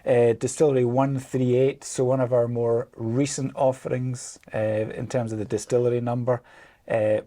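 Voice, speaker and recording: Mellow-voiced Scottish man. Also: medium pitch, little pitch movement, moderate pace. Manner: easygoing, laughs often, hesitant fillers. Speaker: co-host. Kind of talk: casual chat.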